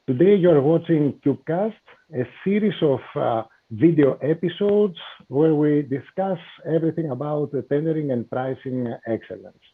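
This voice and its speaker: A man hosting a business podcast, introducing his guest and asking questions with many "uh" pauses. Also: on the high side, expressive, slightly rough. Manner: hesitant, many "uh" fillers. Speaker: podcast host. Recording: tinny phone-like call audio.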